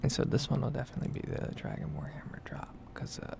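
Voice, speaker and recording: A woman softly whispering a casual, rambling ASMR commentary over a game. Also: rumbling low, big pitch swings, fast talker, vocal fry, background noise. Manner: soft-spoken, rambling, casual asides. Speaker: gaming video creator.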